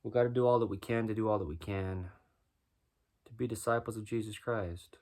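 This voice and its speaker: A man with a deep voice doing a rhyming spoken-word freestyle, musing and preaching as he goes. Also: steady tone, brisk, darkish tone. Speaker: freestyle musician.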